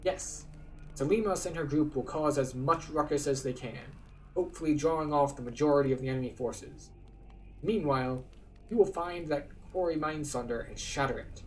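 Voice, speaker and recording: A man's voice: a little high, normal speed, far from the mic, soft background music, close to an everyday voice, low-quality recording. A man voicing an earnest, solemn quest-giver who briefs an adventurer in a fantasy game. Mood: grave, urgent. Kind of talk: read-aloud fantasy game dialogue.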